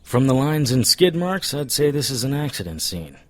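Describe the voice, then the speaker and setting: A man's voice: mid-range voice, very animated, moderate pace, fairly bright tone. A man playing a world-weary occult investigator in an audio drama, dry and clipped, narrating noir style. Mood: matter-of-fact.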